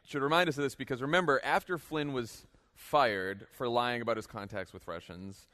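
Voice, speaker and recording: A man's voice: medium pitch, normal speed, bright, forceful tone. Male podcast host, mid-range voice, wry and sarcastic, casual live political panel. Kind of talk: casual political panel talk before an audience.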